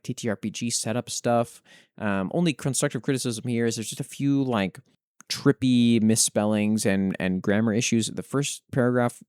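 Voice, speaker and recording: Low-voiced man, close dry sound. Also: a little mellow, clear, resonant tone, dry recording. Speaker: host reading and reviewing listeners' fantasy writing. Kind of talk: scripted reading with casual commentary.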